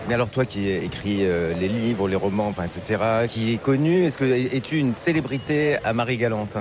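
Un homme mène un entretien et pose des questions longues et reformulées, avec des hésitations. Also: male voice, fairly low pitch, a little fast. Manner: wordy, rephrasing questions with fillers. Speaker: interviewer. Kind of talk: casual interview questions. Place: outdoor market. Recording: busy crowd noise outdoors. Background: crowd chatter, passing vehicles.

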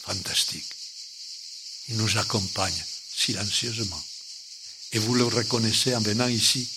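An older man, not a native French speaker, preaching a sermon slowly in short, halting phrases. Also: lowish voice, fairly even intonation, very noisy.

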